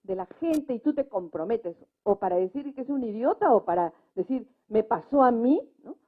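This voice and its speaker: A woman's voice: a little high, expressive, normal speed. A woman speaking off the cuff as a debate panelist, making emphatic points and checking them with tag questions. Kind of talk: unscripted talk at a debate. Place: public debate event. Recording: muffled, lo-fi event recording.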